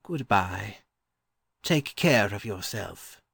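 A man voicing a weary, courteous, scholarly gentleman in a storybook reading, mild and wistful. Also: lowish voice, lively intonation, slow pace, creaky, slightly rough, no echo, studio quality, pitched above the performer's own voice, exaggerated voice.

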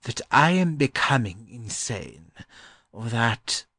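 A learned, mild-mannered gentleman from a storybook, acted by a man reading. He sounds courteous and puzzled, at a middling pitch in a dry recording. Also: unhurried, no echo. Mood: bewildered.

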